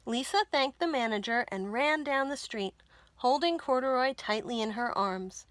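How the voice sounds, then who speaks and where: A woman narrates a storybook for children with deliberate, dramatic phrasing. Her voice is fairly high and bright, with very animated intonation. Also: no room sound.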